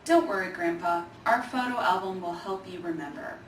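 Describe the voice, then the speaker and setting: Lady reading as earnest little girl in a kids storybook, caring, expressive, kinda echoey. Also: on the low side, moderate pace, lightly acted. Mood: reassuring. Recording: roomy, distant mic, faint noise.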